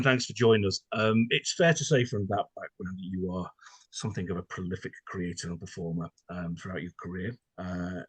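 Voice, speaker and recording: Male interviewer, low and raspy, courteous and long-winded, recorded dry. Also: dry recording, low-quality recording. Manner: wordy, mock-formal politeness. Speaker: podcast host and interviewer. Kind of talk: conversational interview.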